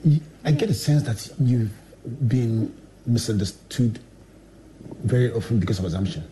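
A man's voice: medium pitch, lively intonation, moderate pace, warm tone, a little hiss or hum, low-quality recording. A man interviewing a guest, asking short, pointed questions. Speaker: interviewer and coach.

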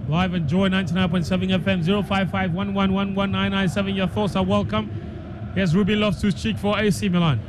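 A man's voice: high voice, level tone, a little fast. A man giving live football commentary on the radio. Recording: clear background noise, stadium ambience.

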